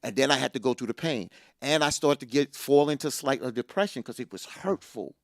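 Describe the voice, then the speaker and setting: A man's voice: mid-range voice, brisk, no room sound, studio quality. A middle-aged man co-hosting a casual chat, telling a personal story with energy and repeating lines for emphasis.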